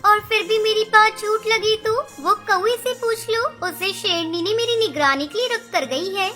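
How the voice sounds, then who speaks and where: A woman's voice: extremely high pitch, normal speed, pushed and bright. A woman voicing a clever, bold little mother bird in a children's cartoon story, emphatic and scolding. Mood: bold, insistent. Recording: music behind the voice.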